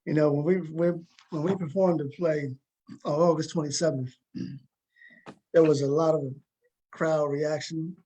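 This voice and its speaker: A man's voice: fairly high pitch, steady tone, measured pace, pauses often, mellow, dull audio. A man hosting a talk show, interviewing conversationally and often repeating words as he goes.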